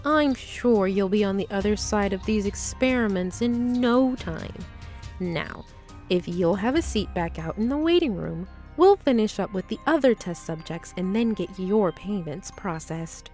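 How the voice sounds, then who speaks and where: A woman playing a reassuring yet coolly ruthless lab partner in an audio drama, measured and firm. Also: medium pitch, expressive, darkish tone, faint music behind. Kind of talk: audio fiction character acting.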